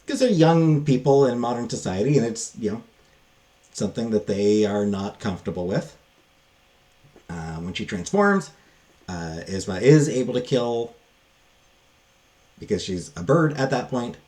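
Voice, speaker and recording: A man giving a casual, unscripted book review, halting and thinking aloud with frequent pauses. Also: medium pitch, big pitch swings, dark tone.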